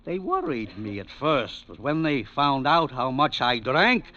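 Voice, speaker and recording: An actor playing a grandiloquent, shaky skid row drunk with pompous, florid diction in a 1940s radio drama. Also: male voice, medium pitch, very animated, unhurried, pushed and bright. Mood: boastful, rambling. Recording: dull, band-limited vintage radio recording.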